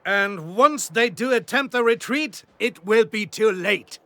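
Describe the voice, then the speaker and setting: An actor playing a confident, calculating general, giving formal orders and briefings in a war game. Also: male voice, high-pitched, animated, unhurried, a bit bright, no room sound, full character voice. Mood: calm, calculating. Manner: formal, authoritative, clipped commands. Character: commanding German general, confident, calculating desert war strategist. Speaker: commanding officer giving mission briefings. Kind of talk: scripted video game cutscene and briefing acting.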